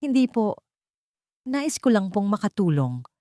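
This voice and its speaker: Gentle, timid poor Filipina by a narrator. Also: female voice, mid-range voice, very animated, a little slow, dry recording, clean studio sound, pitched above the performer's own voice. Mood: timid pleading. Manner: soft, pleading, tender. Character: gentle, humble, timid poor young woman.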